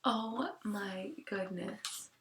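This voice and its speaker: A woman teacher reading a picture book aloud to children, expressively, with hushed asides. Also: mid-range voice, drawn-out words, a little hiss or hum. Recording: roomy, echoing sound.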